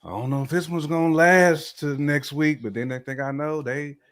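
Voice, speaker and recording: A man's voice: fairly high pitch, fairly even intonation, normal speed, fairly bright tone. A man with a Southern American accent co-hosting a casual talk show, laid-back and slangy. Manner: laid-back, uses slang, says "like" often. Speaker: co-host. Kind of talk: casual podcast chat.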